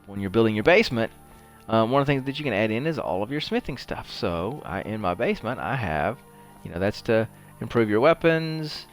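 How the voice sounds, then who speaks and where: A man's voice: on the low side, big pitch swings, brisk, soft background music. A man giving casual gameplay commentary, rambling and conversational as he thinks aloud. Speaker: host of a video game playthrough show.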